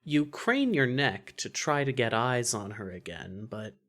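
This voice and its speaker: A woman reading scripted second-person fan fiction narration in an expressive, wry way. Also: rumbling low, unhurried.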